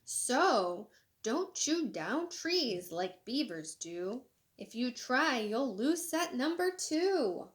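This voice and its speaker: Woman, children's read-aloud, sing-song, very varied intonation, deliberate pace, brief asides. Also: high voice. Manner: expressive, sing-song, deliberate storybook delivery. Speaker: elementary school educator reading aloud.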